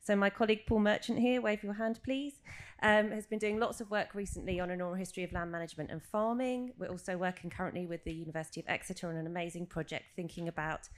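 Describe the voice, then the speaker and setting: A woman's voice: on the low side, steady tone, fast. A woman making an announcement from the audience at a live event, articulate, enthusiastic and promotional in her delivery. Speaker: charity worker speaking from the audience. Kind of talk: prepared-sounding public announcement. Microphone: handheld audience microphone.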